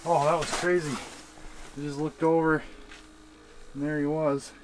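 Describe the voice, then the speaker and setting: A man vlogging his ice fishing trip in a shack on a frozen lake, excited and chatty, talking to the fish he sees on camera. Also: a little high, a little slow, a little hiss or hum, low-quality recording. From Wisconsin. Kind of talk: casual outdoor fishing commentary.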